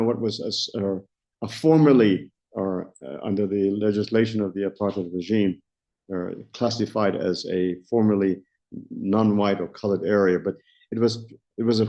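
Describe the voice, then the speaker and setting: A South African man around sixty, a company founder answering interview questions in a measured way, often restarting as he searches for words. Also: on the low side, fairly even intonation, mellow. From Johannesburg, South Africa. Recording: dull lo-fi recording.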